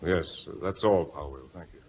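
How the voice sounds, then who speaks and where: A man playing a formal, dutiful, restrained sea captain with halting speech in an old-time radio drama. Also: sits low, a little hiss or hum, close to an everyday voice. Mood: curt, surprised. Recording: muffled old radio broadcast with music.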